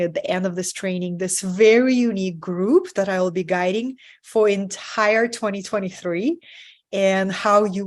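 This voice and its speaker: A woman with a Russian accent, speaking English as her second language, leads a live online training for her community. She talks earnestly and keeps asking her viewers "right?" as she goes. Her voice sits at an ordinary middle pitch. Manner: warm, earnest, often asks "right?" to the audience. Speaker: spiritual business mentor. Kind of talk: live webinar presentation.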